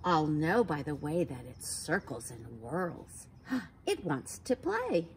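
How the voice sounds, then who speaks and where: Slow, lively woman reading to kids. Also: low voice, a little hiss or hum. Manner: slow, animated and sing-song, sounding out letters, gasping for effect. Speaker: storytime reader for children. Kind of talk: reading a picture book aloud to children. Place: backyard patio outdoors by a wooden fence.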